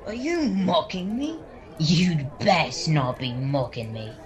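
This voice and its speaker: Girl playing a low, blustering dwarf adventurer. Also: animated, slow pace, highs cut off. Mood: indignant. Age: child, 10 to 13. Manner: boastful, mock-courtly. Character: proud, blustering dwarf adventurer named Jax, quick to take offence. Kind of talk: radio-serial style fantasy acting by a young amateur. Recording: chatter behind, home video-call recording.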